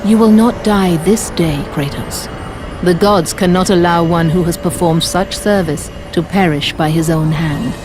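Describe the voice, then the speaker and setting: A woman playing a regal, solemn goddess in a video game, speaking in a measured, formal way. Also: lowish voice, natural voice. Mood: commanding. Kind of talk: dramatic video game acting. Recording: music underneath.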